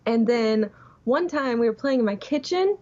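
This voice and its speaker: High-voiced teenage woman on a lo-fi call. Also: lively intonation, normal speed, mellow. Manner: youthful, giggly storytelling. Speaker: podcast guest. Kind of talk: casual anecdotal chat. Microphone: remote call. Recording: dull lo-fi remote call.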